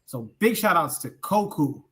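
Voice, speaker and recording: A man's voice: fairly high pitch, sing-song, a little slow, sharp tone, slight background noise. Enthusiastic man signing off. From Omaha, Nebraska. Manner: enthusiastic, hesitant with many fillers. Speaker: podcast co-host. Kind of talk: closing remarks and shout-outs.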